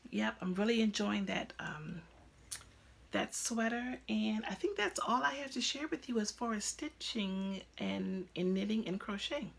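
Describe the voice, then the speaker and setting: A woman's voice: mid-range voice, measured pace, creaky, a little hiss or hum. A woman in her fifties hosting a crafting podcast, chatting casually at home with lots of ums and restarts. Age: 50s. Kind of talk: casual chat to camera. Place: at home, indoors.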